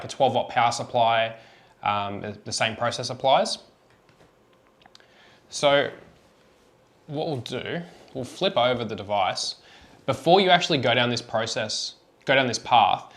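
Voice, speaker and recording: A man's voice: medium pitch, a bit bright. A man presenting a hands-on product tutorial, explaining each step in an informal, practical way. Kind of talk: hands-on how-to demonstration.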